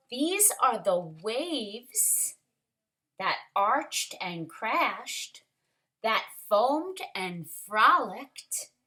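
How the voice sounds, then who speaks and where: A woman with a bright, forward voice reads a children's story very slowly. She stretches her words expressively and speaks to the children directly, as a storytime teacher would. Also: mid-range voice. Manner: expressive, deliberate, drawn-out words with asides to children. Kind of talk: read-aloud storytelling for young children.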